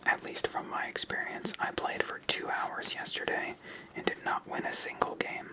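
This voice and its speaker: A fully whispered voice hosts an ASMR solitaire session. The delivery is hushed and unvoiced, narrated step by step in an explanatory way, close to the microphone. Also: normal speed, low-quality recording. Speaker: host playing a solitaire card game. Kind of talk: ASMR whispered game commentary.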